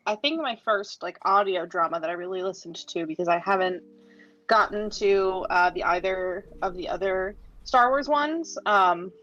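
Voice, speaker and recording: A woman with a mid-pitched voice talks casually on a podcast panel, peppering her review with "like." The audio is a little dull and lo-fi, with faint music underneath. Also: a bit bright. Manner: conversational, heavy use of "like". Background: background music.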